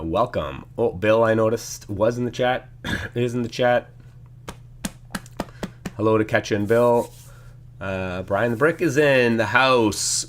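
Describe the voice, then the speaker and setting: Slightly hoarse, low-voiced man chatting. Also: a little hiss or hum. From Canada. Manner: relaxed, chatty, reading out chat messages. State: losing his voice from a sinus infection. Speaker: live stream host building LEGO. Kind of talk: casual live-stream chat.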